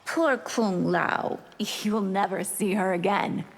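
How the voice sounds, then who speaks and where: A woman playing a predatory, coldly mocking vampire warrior in a fighting game, with a disdainful, drawn-out, menacing delivery. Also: mid-range voice, big-room sound, a little hiss or hum, rough recording. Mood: cold menace. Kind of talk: fighting game character acting.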